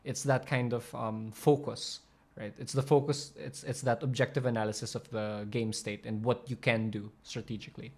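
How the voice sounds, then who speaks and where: A man talking through a clip in a loose, unscripted way, stopping and restarting mid-sentence, filling gaps with "um" and "like". his pitch is middling, neither high nor low. he sounds like he is thinking out loud as he goes. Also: fairly even intonation, normal speed. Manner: rambling, many fillers and restarts. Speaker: channel host explaining coaching. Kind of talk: casual commentary over a video.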